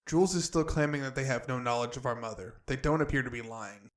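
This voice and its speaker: Guy playing guarded loyal spy type in a fantasy audio drama, medium pitch, earnest and restrained. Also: fast, close to an everyday voice. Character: earnest, guarded young agent reporting to his superiors.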